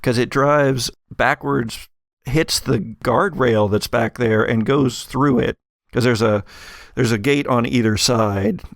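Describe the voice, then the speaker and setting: A man acts as game master, improvising the scene in a conversational, explanatory way. His middle-pitched voice has a creaky edge and is recorded close and dry. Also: slow, no room sound.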